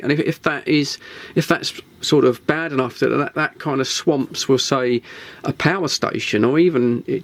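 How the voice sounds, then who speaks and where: Rambling, lively-voiced man musing aloud. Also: on the high side. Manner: rambling, hesitant, many restarts and fillers. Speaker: CB radio vlogger. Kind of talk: casual spoken monologue. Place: home shed.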